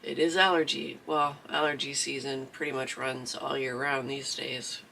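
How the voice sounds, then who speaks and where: A man's voice: mid-range voice, flat delivery, normal speed, gravelly, bright, forceful tone. A man from southeastern Michigan hosting a livestreamed crafting class, chatting casually with fillers, his voice scratchy from allergies. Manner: rambling, chatty, many fillers. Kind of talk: casual livestream chat before a lesson.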